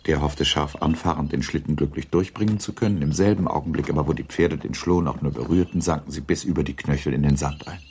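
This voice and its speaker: A man giving a measured, scripted literary narration for a radio drama. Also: a bass voice, fairly even intonation, darkish tone, slight background noise.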